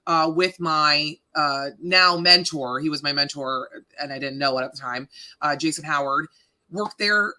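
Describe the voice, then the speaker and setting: A woman in her thirties from Canada with a deep voice, a radio morning host chatting casually in an interview, laughing often and filling with ums. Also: sharp tone. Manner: chatty, laughing, self-deprecating, many fillers.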